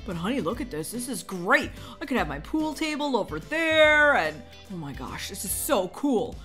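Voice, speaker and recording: A woman playing an excitable, dramatic young husband and new cop in a game roleplay story. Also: mid-range voice, moderate pace, faint music behind. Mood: excited.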